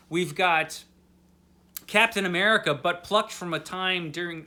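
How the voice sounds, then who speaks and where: Male vlog host, slightly high, bright voice, drunk and rambling through a casual review with dry humor. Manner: tipsy, rambling; wry, tongue-in-cheek. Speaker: host of a comic book read-along.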